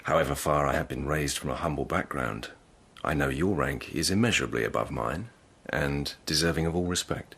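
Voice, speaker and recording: A humble-born police lieutenant at an imperial court in a radio drama. His voice is extremely low and slightly husky, and he is courteous and measured, deferring to rank while quietly pressing on. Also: full character voice. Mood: polite, probing.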